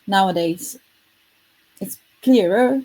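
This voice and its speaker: A woman presents her work live online. Her voice is warm and slightly husky. She is earnest and often stops to correct herself. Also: mid-range voice, slow pace, rough recording. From Estonia. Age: 30s. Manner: earnest, self-correcting, lightly self-deprecating. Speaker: futurist presenting her product.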